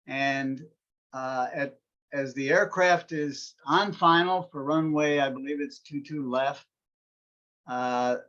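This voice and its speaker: A man hosting an interview, setting up the topic clearly and explaining points. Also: a little high, a bit bright, highs cut off. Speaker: interview host. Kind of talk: interview on aviation.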